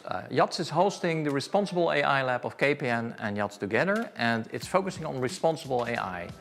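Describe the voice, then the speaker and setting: Man, scripted welcome, soft music under. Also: medium pitch. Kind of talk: video welcome address.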